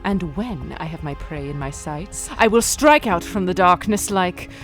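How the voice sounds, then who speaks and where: A woman's voice: lowish voice, expressive, normal speed, faint music behind, lightly acted. A woman acting a determined young heiress in a radio play, cool and poised, with formal theatrical diction. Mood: defiant, brooding.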